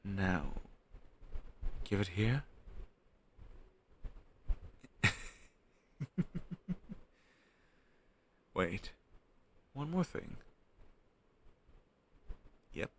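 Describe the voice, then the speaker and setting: A young man playing a shy, lovestruck best friend in an intimate romantic audio roleplay, speaking in a halting, tender and teasing way. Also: deep voice, big pitch swings, slight background noise, heavily acted. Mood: playful, affectionate.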